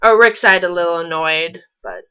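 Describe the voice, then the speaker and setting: A woman's voice: fairly low pitch, normal speed, bright, forceful tone, clean tone. A woman reading fan fiction aloud with sarcastic, incredulous casual commentary, stumbling over the text now and then.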